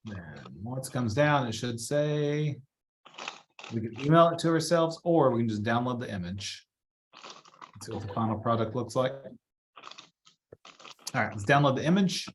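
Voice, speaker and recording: A man with a mid-pitched voice leads an informal training walkthrough. He talks in a chatty, casual way, thinking out loud as he moves through each step. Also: moderate pace, long pauses, a little hiss or hum. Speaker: real estate trainer. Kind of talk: casual live software walkthrough.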